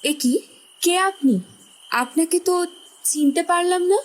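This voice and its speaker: A woman acts a young woman caught off guard in a detective audio drama. Her voice is extremely high and slow, full of startled confusion. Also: rough recording. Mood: startled, confused.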